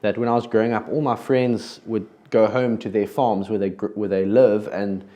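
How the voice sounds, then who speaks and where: A man's voice: on the low side, fairly even intonation, moderate pace, dark tone, muffled recording. A man from South Africa with a South African accent, hosting a podcast in a casual, conversational way with frequent restarts. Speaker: podcast host.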